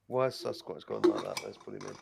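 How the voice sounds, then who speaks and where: A man co-hosting a casual chat show, tossing in quick reactions and asides. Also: lowish voice, huge pitch swings, creaky, heavy background noise. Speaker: co-host.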